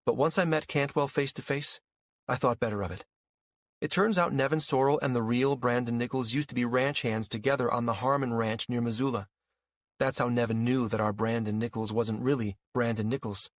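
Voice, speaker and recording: A man's voice: mid-range voice, fairly even intonation, a little fast, no echo, natural voice. A man voicing a matter-of-fact woman in an audiobook story, explaining things with assurance. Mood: assured, revealing. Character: matter-of-fact woman revealing inside information.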